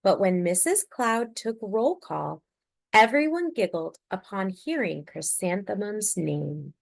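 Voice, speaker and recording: A woman reading a children's story aloud expressively, drawing out key words with deliberate emphasis. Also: medium pitch, expressive, gravelly. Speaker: children's picture book reader.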